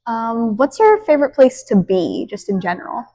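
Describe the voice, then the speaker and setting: A woman's voice: on the high side, big pitch swings, moderate pace, mellow. A young woman interviewing a teacher for a school news show, asking short, polite questions. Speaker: student interviewer.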